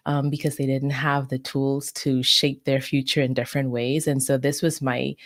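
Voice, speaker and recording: Sex: woman. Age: middle-aged. Origin: from the Bahamas. Role: communications advisor as guest. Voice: very low, dry recording. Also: little pitch movement, normal speed, no echo. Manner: earnest, reflective and articulate. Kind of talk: interview answers.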